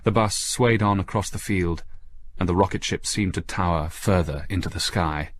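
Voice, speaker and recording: A man's voice: sits low, normal speed, a little hiss or hum. A man reading a scripted story as an audiobook narrator, in a dramatic storytelling style.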